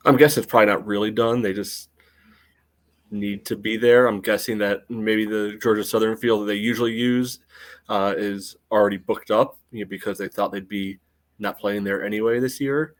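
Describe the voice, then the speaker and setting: An American man giving casual sports analysis in a halting way, often padding with filler phrases. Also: on the low side, fairly even intonation. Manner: halting, frequent "you know". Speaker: podcast panelist.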